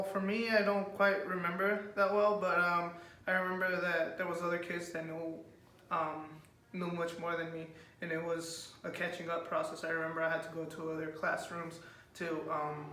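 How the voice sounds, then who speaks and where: A young man whose first language is Spanish answers interview questions, speaking with hesitant pauses and many fillers. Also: on the high side, pushed and bright. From United States. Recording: echoey room, far from the mic, faint noise.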